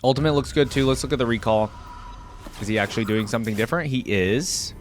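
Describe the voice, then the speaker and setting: A man's voice: medium pitch, fast talker, a little hiss or hum. A man hosting a reaction video, talking informally and thinking aloud in unscripted commentary. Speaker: YouTube reaction host.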